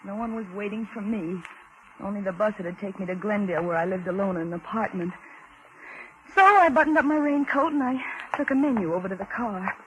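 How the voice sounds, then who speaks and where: Noisy old-radio recording, weary young American car hop, played by an actress. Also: female voice, medium pitch, lively intonation, a little fast, dull audio, close to an everyday voice. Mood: confiding, uneasy. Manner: wry, confiding, working-class plain talk. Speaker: narrator and lead character. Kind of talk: 1940s radio suspense acting, first-person narration.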